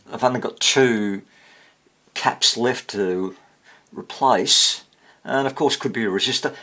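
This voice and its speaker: A man talks casually through a job at his workbench. His voice is husky and middling in pitch, swinging freely up and down as he mutters along informally. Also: fairly bright tone. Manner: informal, muttering to himself as he works. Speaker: hobbyist radio repairer.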